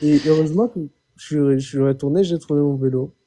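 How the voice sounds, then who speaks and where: A young man from Chad tells his story on a call-in live stream. He has a rather high, very bright voice. He hesitates, repeats himself and keeps swearing that he is telling the truth. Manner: halting, repeats words, often says "je vous jure". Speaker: caller. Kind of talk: phoned-in personal testimony.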